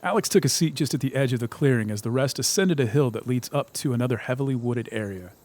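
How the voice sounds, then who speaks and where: A man's voice: mid-range voice, fast talker, a little mellow. A man narrating a scripted audio drama as its storyteller. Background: background music.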